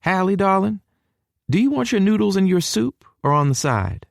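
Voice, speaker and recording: A man voicing a warm, doting middle-aged mother with a Southern Texas accent. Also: high voice, big pitch swings, normal speed, gravelly, no echo, clean studio sound, voice pushed up, full character voice. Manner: affectionate, doting. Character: warm motherly Texan woman, cheerful.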